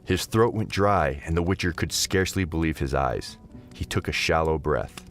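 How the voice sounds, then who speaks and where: A man's voice: extremely low pitch, moderate pace, faint music behind. A man narrating a scripted fantasy audio drama in a dramatic storytelling style.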